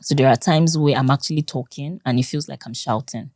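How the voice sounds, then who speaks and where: A young Nigerian woman with a Nigerian accent and a strikingly low, dark voice. She talks loudly and expressively as an interview guest. The sound is dry and close. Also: a little fast, dry recording. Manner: loud, expressive, laughs often. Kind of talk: conversational interview.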